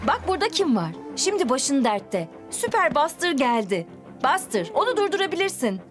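A woman narrates a children's cartoon in a high voice. She is expressive and addresses both the characters and young viewers. Music plays steadily behind her. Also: normal speed.

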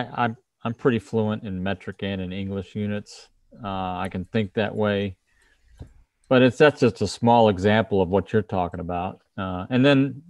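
A lower-voiced man rambles through technical explanations on a video call. The sound is close and dry, with no room around it. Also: no echo. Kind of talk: casual video call chat.

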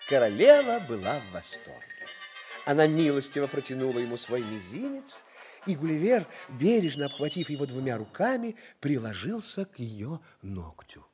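A man narrating a children's radio play in an expressive storytelling style. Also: mid-range voice, moderate pace, over music.

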